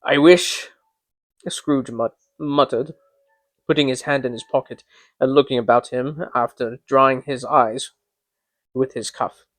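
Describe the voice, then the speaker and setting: A man's voice: medium pitch, steady tone, measured pace, a bit bright, dry recording. A man reading a classic story aloud as a dramatic storybook narration. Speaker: amateur reader of a classic story.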